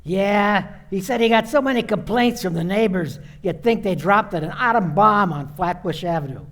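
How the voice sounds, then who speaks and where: Man as a high, gravelly patrol cop. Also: normal speed, fairly bright tone. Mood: brisk. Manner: blunt, slangy. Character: brusque, cocksure male patrol cop. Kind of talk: old-time radio play acting.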